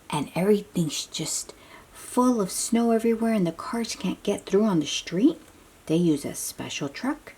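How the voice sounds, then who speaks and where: Slow, low-voiced woman reading to children. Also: warm tone, slightly rough. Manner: addresses young listeners with questions and simple explanations. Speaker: children's read-aloud host. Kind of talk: picture-book read-aloud for young children.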